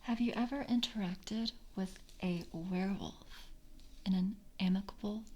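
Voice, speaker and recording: A woman playing a polite vampire coven member in a soft-spoken ASMR roleplay, breathy and often whispering, with formal, halting courtesy. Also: medium pitch, lightly acted. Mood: polite, measured.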